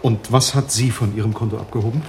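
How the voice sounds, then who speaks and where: An actor playing a shrewd, matter-of-fact private detective in a radio play, narrating and talking in a dry, measured way. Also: male voice, on the low side, fairly even intonation, a little mellow, a little hiss or hum, close to an everyday voice. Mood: probing.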